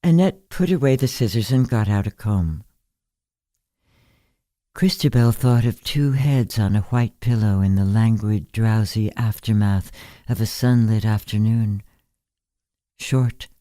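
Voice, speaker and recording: A woman reading a literary story as an audiobook narrator, in a measured, unhurried manner. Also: extremely low pitch, warm tone, no room sound, clean studio sound. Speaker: story reader.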